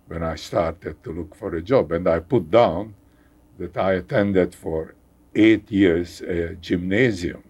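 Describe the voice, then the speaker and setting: An older man from Hungary with a Hungarian accent, speaking haltingly as a guest in a conversational interview, repeating words and chuckling wryly. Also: low-pitched, a little mellow, breathy edge. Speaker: guest scientist.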